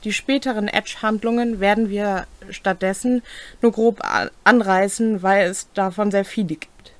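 A woman's voice: medium pitch, dry recording. A young adult woman co-hosting a rules explainer in a scripted presenting style. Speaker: co-host of a tabletop game rules series. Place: small cluttered home room, indoors.

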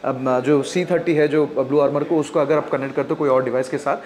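A man's voice: medium pitch, steady tone, fairly quick, crowd noise. An Indian man in his thirties with an Indian accent, giving an informal, explanatory product review to camera and mixing in English. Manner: explanatory, informal, addressing viewers as friends. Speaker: video host and product reviewer. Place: indoors at a wooden table. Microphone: clip-on wireless lapel mic.

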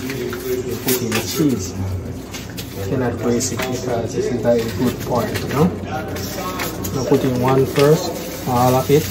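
A man's voice: mid-range voice, measured pace, long pauses, low-quality recording. A man, casually talking through his cooking in a small home kitchen, filming the pan with a phone. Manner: relaxed, repeats "you know" and "see". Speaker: home cook. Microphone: phone camera held over the pan. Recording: loud kitchen sizzle noise. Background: loud sizzling and frying.

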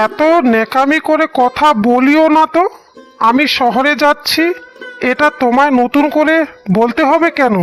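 A high-pitched, domineering young husband from a melodramatic cartoon, acted by a woman. He talks down with impatient scorn and brushes the listener off. Light music plays under him. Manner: scolding, dismissive. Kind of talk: melodramatic cartoon story dialogue.

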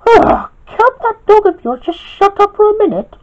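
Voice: very high-pitched, big pitch swings, normal speed, pitched above the performer's own voice. An amateur storyteller voicing a stern, bossy female restaurant boss who scolds in exasperation. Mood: irritated. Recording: dull, lo-fi home recording.

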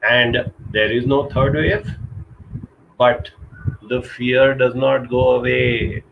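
A middle-aged man from India giving an earnest motivational talk over a webcam from a small curtained room, slowing down to stress key points. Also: mid-range voice, fairly even intonation, creaky, phone-quality sound, a little hiss or hum. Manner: earnest, urging, slows down for emphasis. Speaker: counselor giving a live talk. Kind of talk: motivational live-stream talk. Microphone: webcam video call.

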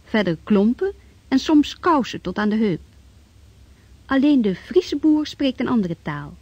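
Breathy female narrator, dry dull recording. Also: fairly high pitch, very animated, unhurried, dark tone, no room sound. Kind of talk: scripted radio drama narration.

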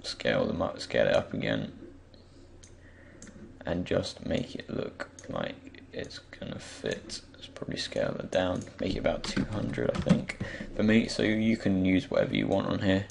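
Croaky, gravelly deep young man. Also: background noise. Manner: relaxed, thinking aloud with many pauses. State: just woken up. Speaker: tutorial creator. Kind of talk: screen-recorded software tutorial.

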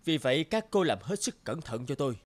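A man voicing a stout, stern middle-aged company boss in an animated cartoon, speaking in a measured, lecturing way. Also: a little high. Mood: firm. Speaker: voice actor. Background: background music.

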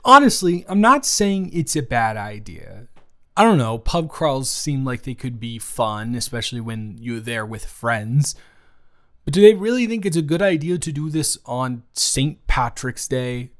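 A man acts out a flustered young male best friend in a romantic audio roleplay. His mid-pitched voice is conversational and hesitant, with a teasing, caring manner. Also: animated, unhurried, a bit bright. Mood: reluctant, unsure.

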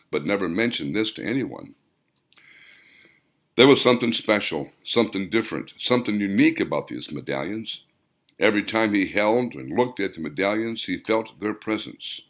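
A man reading an audiobook story aloud in a measured, dramatic storytelling manner. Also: fairly low pitch, creaky, fairly bright tone. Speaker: author reading own audiobook. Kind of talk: scripted audiobook narration.

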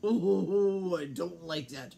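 A woman voicing a big, grumpy papa bear in a slow, grumbling way. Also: lowish voice, vocal fry, mellow, full character voice.

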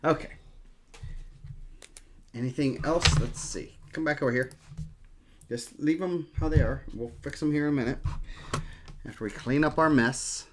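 A man's voice: a little high, normal speed, long pauses, background noise. A middle-aged man chatting casually as he hosts a card-opening show from a desk in a small room. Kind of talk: casual chat while showing cards.

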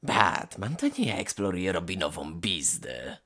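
A man playing a sly, taunting clown supervillain in a comedy fan dub, with a theatrical, drawn-out delivery. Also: sits low, very animated, bright, forceful tone, heavily acted. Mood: smug.

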